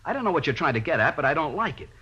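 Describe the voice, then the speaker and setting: A man playing a bewildered, protective middle-aged father in a radio drama, plain-spoken and defensive, with a husky voice. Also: fairly high pitch, big pitch swings, very fast, bright, forceful tone, full character voice. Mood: indignant, wounded. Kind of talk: 1950s radio drama acting. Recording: muffled old-time radio recording.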